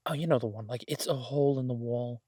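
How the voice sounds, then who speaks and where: A man playing an easygoing, affectionate chef in an intimate boyfriend roleplay audio, talking in a husky, halting, teasing way to a partner. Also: medium pitch, dark tone, dry recording, clean studio sound, lightly acted. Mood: casual, wistful. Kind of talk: intimate boyfriend roleplay audio, casual one-sided chat.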